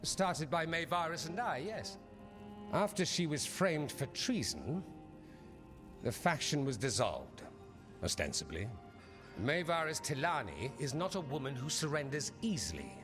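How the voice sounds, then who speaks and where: Theatrical, sardonic mage, lively pitch. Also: male voice, unhurried. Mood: assured, teasing. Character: suave, witty, self-assured male mage and magister. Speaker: voice actor in a fantasy game. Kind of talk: dramatic game dialogue. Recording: reverberant hall, music underneath.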